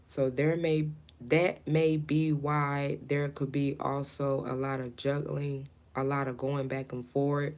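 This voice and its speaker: A woman giving a personal tarot reading in a low, light, calming voice, talking gently to spirit and to her client without a clip-on mic. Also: slow pace, hardly any pauses. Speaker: tarot reader. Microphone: camera mic, no lapel mic.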